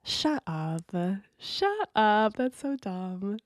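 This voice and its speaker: A woman's voice: medium pitch, very animated, drawn-out words, no echo, clean studio sound. A woman co-hosting a podcast in casual comedic chat, playful and blunt, reacting with exclamations. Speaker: podcast co-host.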